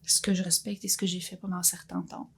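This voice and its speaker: Gravelly, husky woman in her fifties. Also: lowish voice, flat delivery, fast talker. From Belgium. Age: 50s. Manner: earnest, thoughtful, frequent restarts. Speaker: guest entrepreneur. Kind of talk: reflective personal interview.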